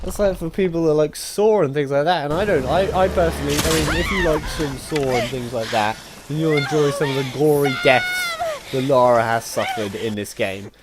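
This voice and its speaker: Higher-voiced young man reviewing games. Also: moderate pace, background noise. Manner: rambling, informal, animated. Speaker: video game reviewer. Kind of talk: casual opinionated review commentary. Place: voice-over on gameplay footage. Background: game music.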